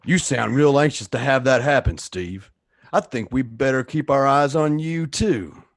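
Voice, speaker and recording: A man's voice: medium pitch, a little slow, no room sound, clean studio sound, voice pushed up, close to an everyday voice. A man playing a suspicious, accusing neighbor in a radio play, talking in a folksy, bullying way.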